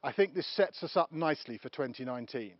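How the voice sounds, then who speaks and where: A man giving a formal interview statement in a measured, official way. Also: mid-range voice, animated, no echo, muffled recording.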